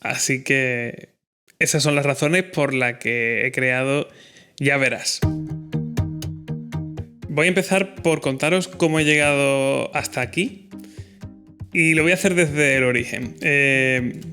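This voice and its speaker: A man's voice: medium pitch, takes it slowly, long pauses, music in the background. A man, hosting a solo podcast in an earnest, reflective way. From Spain. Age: 30s. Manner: earnest, reflective, with fillers and restarts. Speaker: podcast host.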